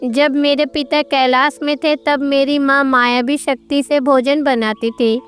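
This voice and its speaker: A woman voicing an admiring, curious young son of gods in a storybook tale. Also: sits high. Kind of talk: storybook character dialogue.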